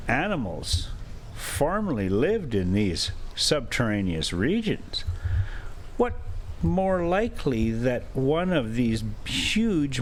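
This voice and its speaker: Man reading as a slow, skeptical young nephew. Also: medium pitch, slight background noise. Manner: dry, questioning. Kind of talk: amateur storybook reading.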